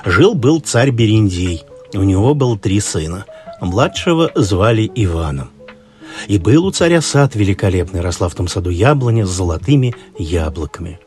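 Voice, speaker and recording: A man narrating a fairy tale expressively, in an unhurried, folksy storytelling manner. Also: fairly low pitch, expressive, darkish tone. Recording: music behind the voice. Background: background music.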